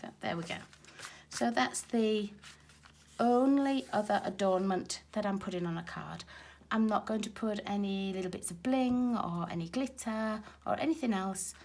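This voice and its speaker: Hoarse woman demonstrating card making. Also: mid-range voice, moderate pace, a little mellow. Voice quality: raspy. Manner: chatty and explanatory, talking while working with her hands. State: hoarse from a cold. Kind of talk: casual crafting tutorial. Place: craft table at home, filmed from overhead.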